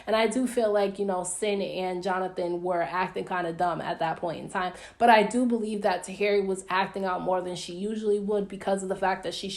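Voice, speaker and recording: Young American woman, medium pitch, casual gossipy recap, chatty, opinionated, slangy. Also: creaky, a little mellow. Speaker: YouTube reviewer recapping reality TV.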